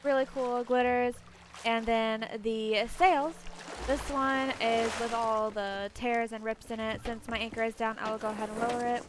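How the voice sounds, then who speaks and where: A woman's voice: a little high, fairly even intonation, moderate pace. A woman hosting a casual game walkthrough, chatting informally and addressing viewers directly. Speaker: gameplay video host. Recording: poor home mic, faint noise.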